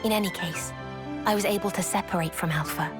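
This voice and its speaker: A woman acting a solemn, otherworldly young woman, the calm conscience of a godlike being, in a video game cutscene. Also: medium pitch, fairly quick, loud music behind the voice, close to an everyday voice. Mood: calm, grave.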